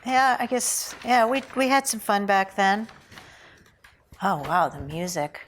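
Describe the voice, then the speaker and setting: A woman's voice: mid-range voice, animated, measured pace, a little hiss or hum, close to an everyday voice. A woman playing a needling, sardonic old friend in a drama table read, with a pointed delivery. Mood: wry nostalgia. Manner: pointed, sarcastic.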